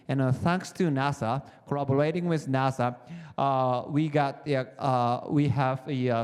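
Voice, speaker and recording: A man in his fifties with a Japanese accent, giving a short conference talk as a geologist, halting and full of "uh" fillers. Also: medium pitch, darkish tone, clean tone, big-room sound. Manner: halting, many "uh" fillers, non-native phrasing. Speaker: planetary geologist presenting on a panel. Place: conference hall.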